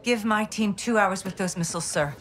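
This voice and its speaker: A woman's voice: medium pitch, a little fast, natural voice. A woman playing a middle-aged intelligence officer in a game, terse, businesslike and determined. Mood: insistent. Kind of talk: cinematic game acting.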